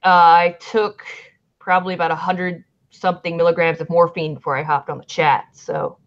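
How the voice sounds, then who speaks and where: A woman's voice: fairly low pitch, fairly even intonation, a little fast. A woman with a Southern accent, rambling and swearing casually in a livestream chat as a band manager. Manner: rambling, chatty, swears freely. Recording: lo-fi stream audio.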